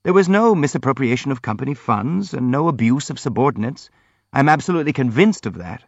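Man voicing an earnest, gentle young prince. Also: mid-range voice, animated, fairly quick, husky, no echo, muffled recording, voice pushed up. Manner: polite, earnest, hastily apologetic.

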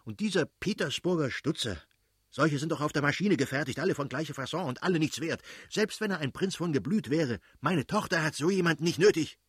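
Man performing irascible aristocratic father, audiobook character, high-pitched blustering, exclamatory scolding, mocking, very dry close sound. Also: expressive, normal speed, a bit bright, slightly rough, no room sound. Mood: furious, scornful. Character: irascible aristocratic old father, ranting and scolding his wife. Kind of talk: dramatised audiobook reading.

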